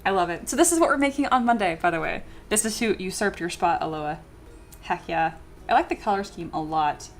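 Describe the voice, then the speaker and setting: Young female streamer, bubbly and excitable, reads chat aloud, faint music underneath. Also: on the low side, a little fast. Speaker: streamer, 3D sculptor. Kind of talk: casual livestream chat with viewers.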